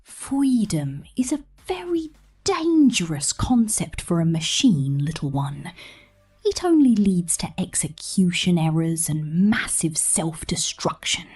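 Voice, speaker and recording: A woman playing a possessive, condescending mechanic captor in an ASMR roleplay, speaking softly and half-whispered with playful menace. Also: on the low side, very animated, husky, faint music behind, heavily acted. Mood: controlling, coaxing. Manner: soft, half-whispered, slow, teasing.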